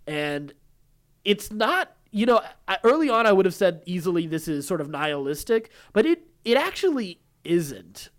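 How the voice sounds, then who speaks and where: A man's voice: high-pitched, big pitch swings, moderate pace. A man co-hosting a podcast, talking casually in an earnest, effusive and rambling way. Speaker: podcast co-host. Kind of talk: casual pop-culture discussion.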